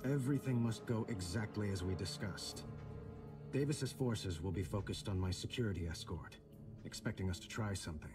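A resolute young male emperor with a very low, commanding voice, played by an actor. He speaks formally and earnestly in a game cinematic, slightly off the mic over clear music. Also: normal speed, close to an everyday voice. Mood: determined. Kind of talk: video game cinematic acting. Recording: slightly distant, music behind.

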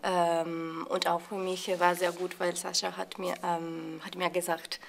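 A young woman with an Italian accent, an actor being interviewed, who speaks hesitantly with many fillers and pauses. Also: on the low side, level tone, vocal fry, a little hiss or hum. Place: indoor theatre space with brick wall.

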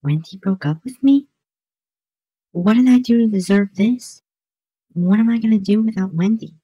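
A woman's voice: mid-range voice, moderate pace, warm tone, breathy edge, no room sound, dull audio, natural voice. A woman voicing a lovesick, downcast cartoon schoolboy in an amateur comic dub, earnest and plaintive. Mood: dejected.

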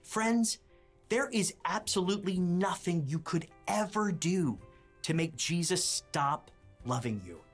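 A woman playing a friendly mail carrier who tells children Bible stories in simple, emphatic, expressive phrases. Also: lowish voice, a little slow, husky, soft background music. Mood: earnest storytelling. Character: friendly mailman storyteller, addressing children as friends.

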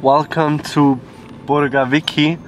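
A man's voice: fairly high pitch, level tone, slow, soft background music. A young man chatting casually through a travel vlog and unsure how to say the local place names. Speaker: vlogger. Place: inside a car and a small guest room.

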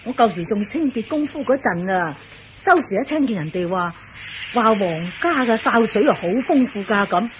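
Lively, nosy auntie, acted by a woman. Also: a little high, normal speed, natural voice. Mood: grateful, cheerful. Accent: Hong Kong Cantonese. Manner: exclaiming, gossipy, colloquial. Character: good-humoured, nosy middle-aged working-class auntie. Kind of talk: Cantonese radio drama acting. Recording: noisy old radio drama recording.

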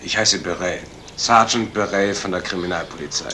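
An actor playing a formal, matter-of-fact young police sergeant in a 1970s radio crime drama. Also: male voice, deep voice, normal speed, bright, forceful tone. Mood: businesslike. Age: young adult. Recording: vintage radio recording, faint hiss.

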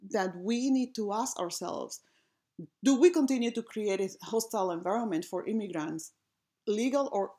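A woman from gives a reflective presentation over slides, pausing often with ums and restarting her phrases. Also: lowish voice, darkish tone. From Puerto Rico. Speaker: design lecturer.

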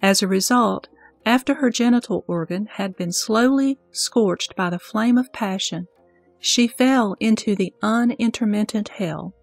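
A woman's voice: medium pitch, unhurried, dry recording, soft background music. A woman voicing a reverent disciple, reciting formally, carefully and earnestly in a scripture audio drama.

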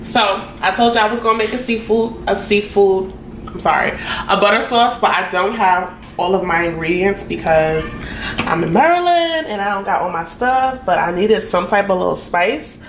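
A woman's voice: medium pitch, lively intonation, moderate pace. A young woman vlogging in her home kitchen, chatting casually and listing ingredients as she cooks. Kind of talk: casual cooking vlog narration. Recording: home kitchen with clear cooking noise. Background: cooking sizzle and kitchen noise.

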